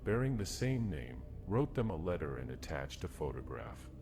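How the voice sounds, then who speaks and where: A man's voice: a bass voice, sing-song, moderate pace, over music. A man reading scripted narration for a horror story, as a narrator. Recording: faint noise behind the voice.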